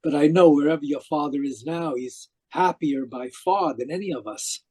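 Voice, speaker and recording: An actor playing an obsessive, domineering uncle in an old-style radio mystery, with theatrical, emphatic delivery. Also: male voice, a little high, moderate pace, warm tone, slightly rough. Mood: defensive. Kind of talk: 1940s radio mystery acting, narrating and dialogue. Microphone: video call. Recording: muffled-edged online video call.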